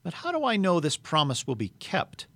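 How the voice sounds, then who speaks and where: Cold, imperious witch queen voiced by a man reading aloud, haughty and threatening, pitched up and pushed loud, dry close sound. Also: huge pitch swings, normal speed, no room sound, heavily acted. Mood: menacing, haughty. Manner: haughty and menacing.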